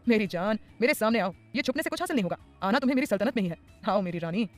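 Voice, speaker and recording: A woman's voice: mid-range voice, expressive, rapid-fire, faint music behind, lightly acted. A woman playing an obsessive, menacing mafia boss. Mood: possessive. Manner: threatening, possessive, intense.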